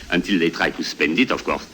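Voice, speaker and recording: A man's voice: deep voice, normal speed, bright, forceful tone. A man playing a scheming old trader captain with a French accent in a 1950s radio drama, oily and chuckling. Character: sly old male ship captain, trader. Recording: faint hiss of an old broadcast.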